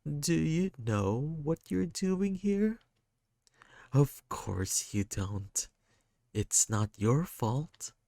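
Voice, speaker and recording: Man voicing a sad, quick-tempered kid. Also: on the high side, big pitch swings, creaky, mellow, no room sound, studio quality, pitched above the performer's own voice, heavily acted. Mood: weary. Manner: raised, strained and tearful.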